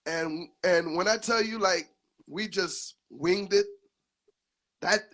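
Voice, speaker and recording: A man's voice: sits high, slow, a bit bright. A man hosting a casual interview, easygoing, laughing along and giving short replies. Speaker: host.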